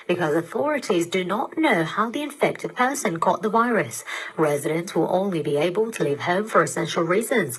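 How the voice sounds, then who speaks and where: Low, husky woman reading radio news. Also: fairly bright tone, poor audio. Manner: formal, measured headline delivery. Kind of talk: scripted news bulletin reading. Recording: shortwave digital radio broadcast reception.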